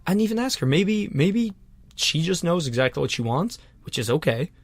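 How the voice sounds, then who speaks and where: A man's voice: on the high side, big pitch swings, moderate pace, husky, no echo. A man co-hosting a podcast in casual chat, laid-back, swearing casually and chiming in often. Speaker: podcast co-host.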